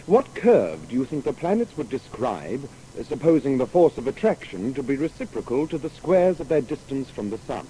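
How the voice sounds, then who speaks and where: An earnest gentleman of science from centuries past, acted by a man, courteous and formal in his archaic phrasing. The voice is mellow and very expressive, in a radio drama with slight noise. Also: medium pitch, moderate pace, few pauses, lightly acted, poor audio. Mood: eager, questioning. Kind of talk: period radio drama acting.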